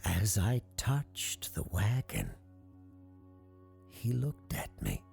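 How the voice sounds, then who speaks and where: An obsessive circus showman , acted out by a male narrator, in a dark audiobook. The voice is low and gravelly, swooping theatrically as he lingers rapturously over each word. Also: wildly swooping pitch, extremely slow, soft background music, exaggerated voice. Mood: dreamy, obsessive. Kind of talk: audiobook character acting.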